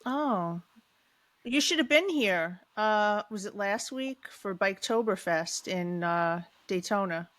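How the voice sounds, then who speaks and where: A woman co-hosting a podcast, asking short questions and reacting quickly in casual chat. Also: mid-range voice, expressive, fairly bright tone, no room sound. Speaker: podcast co-host.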